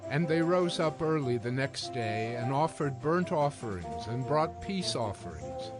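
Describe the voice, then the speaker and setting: A man's voice: medium pitch, very animated, measured pace, poor audio. A man narrating a dramatized scripture audio production from a script. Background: music.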